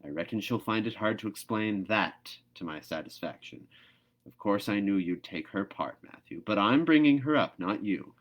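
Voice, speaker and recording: Storybook role: male reader as middle-aged farm woman, stern and exasperated, scolding with brisk emphasis. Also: lowish voice, lively intonation. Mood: indignant.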